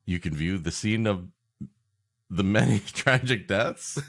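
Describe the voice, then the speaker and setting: A man's voice: lowish voice, a little slow, fairly bright tone. A man co-hosting a podcast with comedic banter, riffing in a wry, playfully sarcastic way. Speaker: podcast co-host.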